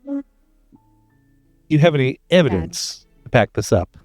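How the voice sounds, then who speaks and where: A man voicing a reluctant, sceptical game hero in a flippant, stubborn, deadpan way. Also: fairly high pitch, unhurried, no room sound, faint music behind.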